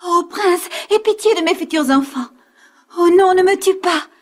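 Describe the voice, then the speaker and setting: A woman's voice: very high-pitched, normal speed, over loud music. A woman voicing tender, anxious animal mothers in a fairy-tale cartoon, imploring in formal pleas. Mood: pleading.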